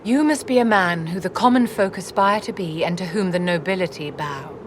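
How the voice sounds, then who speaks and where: Casting: actress for haughty game aristocrat, low register, poised and condescending, over clearly noisy ambience. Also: female voice, brisk, natural voice. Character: imperious, elegant court lady, poised and calculating. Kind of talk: dramatic video game acting.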